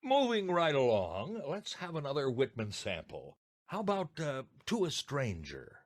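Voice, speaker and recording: Animated American male co-host. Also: medium pitch, measured pace. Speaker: podcast co-host. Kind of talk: scripted friendly co-host banter and introductions.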